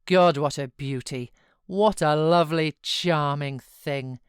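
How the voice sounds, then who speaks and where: A woman's voice: low voice, takes it slowly, clean tone, no echo, studio quality. A woman voicing a grim, sneering father in an audiobook, with mocking, domineering exclamations. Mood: scornful mockery. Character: grim, sneering, scornful man, harsh father. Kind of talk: audiobook character voice.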